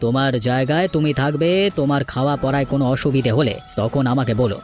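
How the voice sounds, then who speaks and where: A man plays a domineering older village headman from a cartoon folk tale. He is brusque and scheming, dismissing others in a mid-pitched male voice, with music behind him. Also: fairly even intonation, fast, natural voice. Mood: irritated, dismissive. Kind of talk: animated folk-tale voice acting.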